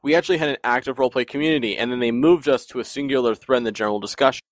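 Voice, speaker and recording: A man's voice: medium pitch, very fast, no echo. A man in casual podcast chat, telling long rambling stories with frequent laughs. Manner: rambling, animated storyteller who often laughs.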